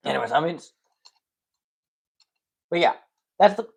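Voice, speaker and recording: A man's voice: fairly high pitch, expressive, moderate pace, creaky, breathy edge, muffled recording. A man livestreaming late at night, chatting casually and frankly with his viewers, with a stutter that makes him repeat words and restart sentences. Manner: rambling, frank, lots of fillers and restarts.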